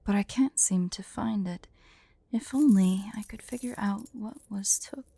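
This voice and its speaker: Woman as a mellow, proud tsundere dragoness. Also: mid-range voice, a little slow, creaky, breathy edge, dry recording. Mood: troubled, confiding. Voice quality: soft, mellow. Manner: haughty, teasing. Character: proud, teasing tsundere female dragon in human form. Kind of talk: ASMR roleplay.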